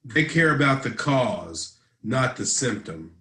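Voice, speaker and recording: A man's voice: medium pitch, sing-song, slow, bright, forceful tone, full character voice. A man playing a bitter, defiant man in a dramatic script read-through, recorded in an echoey room.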